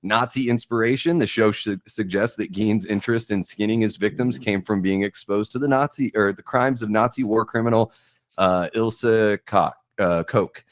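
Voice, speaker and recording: A young American man hosting a podcast discussion, giving opinions and going through notes in an informal, halting, thoughtful way. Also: fairly low pitch, no echo, clean studio sound. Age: young adult. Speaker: podcast host.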